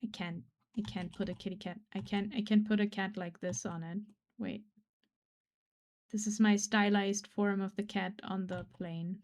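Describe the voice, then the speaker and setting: A woman of middle pitch chats casually on a live stream while she draws. She rambles and pokes fun at herself, and she often drops into soft, quiet mumbling. She speaks English, though German is her first language. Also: level tone, normal speed. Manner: rambling, self-deprecating, trails off into quiet mumbles.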